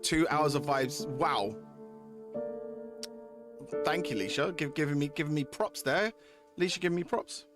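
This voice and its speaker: A man's voice: a little high, unhurried, pauses often. A man chatting casually to viewers as a game streamer, informal and sweary, often repeating himself. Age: adult, parent of young kids. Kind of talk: casual livestream chat with viewers. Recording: music playing behind the voice. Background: clear background music.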